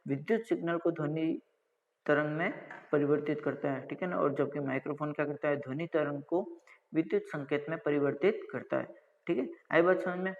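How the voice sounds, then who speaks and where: A man's voice: fairly high pitch, creaky. A male lecturer explains technical material didactically, asking and answering his own questions and often checking that listeners follow. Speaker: college lecturer. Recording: dull lo-fi audio with long reverb.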